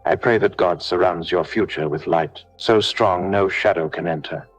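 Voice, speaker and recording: A man delivering a scripted devotional prayer in a solemn, declarative way with measured phrasing. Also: low voice, slightly rough, low-quality recording. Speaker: prayer leader. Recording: music behind the voice. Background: background music.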